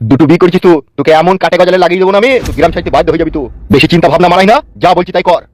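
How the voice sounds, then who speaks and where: Man playing a fast-talking, bullying village politician. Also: sits high, fairly even intonation, slight background noise, close to an everyday voice. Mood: bullying, impatient. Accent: rural West Bengal Bengali. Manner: brusque, domineering, coaxing. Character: lecherous, bullying male village politician, foul-mouthed and scheming. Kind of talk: crude comic cartoon dialogue. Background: background music.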